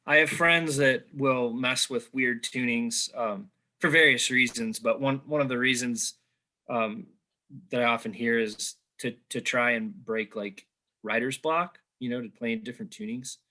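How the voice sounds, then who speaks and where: A man's voice: medium pitch, steady tone, a little slow, sharp tone. A man hosting an interview over a video call, talking in a rambling way with many fillers. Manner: talkative, rambling, filler-heavy. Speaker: interviewer, host. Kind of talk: conversational interview.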